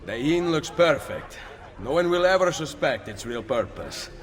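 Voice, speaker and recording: An actor playing a commanding assassin leader in a video game, terse and firm, with an Italian accent. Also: male voice, on the high side, very animated, normal speed. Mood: composed, purposeful. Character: commanding young-to-middle-aged male Italian assassin leader. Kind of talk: video game cutscene acting.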